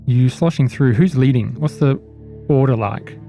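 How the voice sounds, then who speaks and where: A man running a tabletop horror role-playing game as game master, narrating in deliberate, suspenseful phrases. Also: medium pitch, warm tone. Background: faint music.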